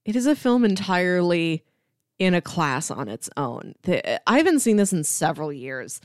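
A woman's voice: lowish voice, very animated, moderate pace, dry recording, studio quality. A woman hosting a podcast, talking casually and enthusiastically about film with emphatic delivery. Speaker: podcast host.

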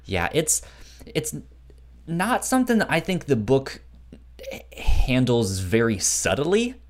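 A man co-hosting a podcast, talking casually and haltingly, often stammering over word starts. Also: mid-range voice, wildly swooping pitch. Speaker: podcast co-host. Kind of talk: casual book discussion chat.

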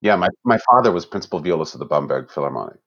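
A man's voice: sits low, big pitch swings, racing, fairly bright tone, dull audio, a little hiss or hum. A middle-aged man from Germany, an orchestra musician, chatting casually in an interview, laughing often and restarting his sentences.

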